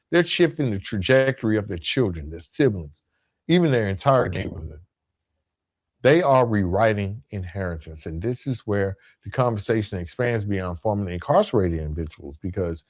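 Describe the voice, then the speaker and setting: Male podcast host, mid-pitched. Motivational solo address, deliberate and emphatic, leaning on repetition. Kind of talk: motivational monologue to listeners.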